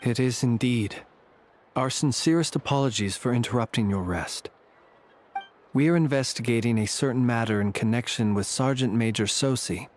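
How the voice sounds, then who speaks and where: An actor playing a composed, courteous and grave warrior investigator in a video game, speaking formally and with measure. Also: male voice, mid-range voice, normal speed, a little hiss or hum, lightly acted.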